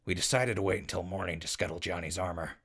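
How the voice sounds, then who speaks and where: A man playing a gruff, wry leader of a wasteland crew, gravelly-voiced, in a post-apocalyptic audio drama. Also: deep voice, quick pace, fairly bright tone, heavily acted. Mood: narrating log.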